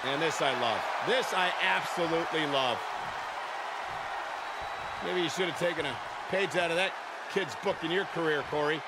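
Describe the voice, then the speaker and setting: A man playing an excitable, indignant wrestling announcer in a video game, emphatic and given to repeating himself. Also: a little high, normal speed, long pauses, close to an everyday voice. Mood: admiring. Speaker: wrestling commentator. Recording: very noisy arena, loud crowd. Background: roaring wrestling crowd.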